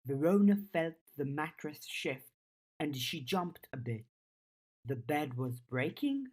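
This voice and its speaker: A woman narrates fiction from a script in a low register. Her pace is slow, with each sentence of the story given plenty of time. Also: sing-song, darkish tone. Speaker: audiobook narrator.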